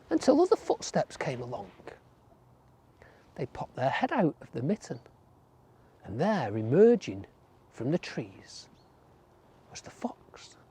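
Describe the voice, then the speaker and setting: A storyteller narrating a folk tale for children in a gentle way, dropping to a whisper or drawing words out for effect. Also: mid-range voice, a little hiss or hum.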